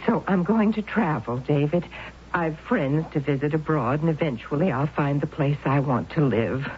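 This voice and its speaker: An actress playing a devoted, worried middle-aged aunt with earnest, emotional pleading in a 1970s radio drama. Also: female voice, low voice, moderate pace, close to an everyday voice. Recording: dull old radio broadcast with faint hiss.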